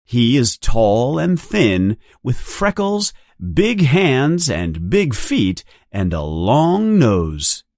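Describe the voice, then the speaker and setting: A narrator reading a scripted story aloud for learners in a deliberate, clearly enunciated manner. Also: mid-range voice, big pitch swings, a little mellow, no room sound, studio quality. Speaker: audiobook narrator. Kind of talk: scripted read-along storytelling.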